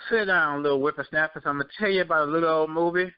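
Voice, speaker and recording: A man's voice: a little high, fairly even intonation, rapid-fire, clean tone, dry recording. Guy hosting a podcast, opinionated, says stuff twice for emphasis, kinda muffled and dry sound. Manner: emphatic, repeats himself for emphasis. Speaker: podcast host. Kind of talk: casual opinionated chat. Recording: dull, muffled lo-fi audio.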